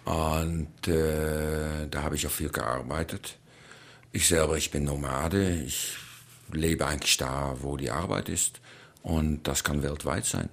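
A man's voice: very deep voice, fairly even intonation, slow pace, gravelly. A man from the Netherlands with a Dutch accent, a choreographer answering interview questions hesitantly, with many fillers.

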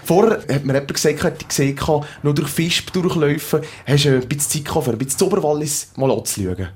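A man's voice: on the high side, fast talker, a little mellow, husky. A man with a Swiss German accent hosting an interview, chatty with loose phrasing. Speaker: radio show host.

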